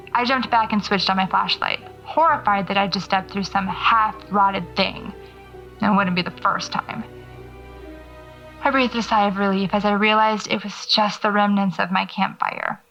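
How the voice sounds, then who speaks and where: A woman's voice: mid-range voice, fairly bright tone, lightly acted. A woman playing a reflective, awed horror writer, recounting her experience as an audio drama monologue. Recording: dull tape recording, faint music.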